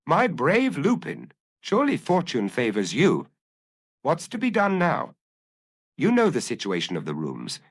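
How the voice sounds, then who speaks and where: Suave gentleman thief for a detective audiobook, urbane, self-satisfied, swinging pitch; male narrator acting it out. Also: wildly swooping pitch, measured pace, heavily acted. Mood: self-congratulating. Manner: urbane, drily amused, self-congratulating. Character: suave, self-assured gentleman burglar musing to himself.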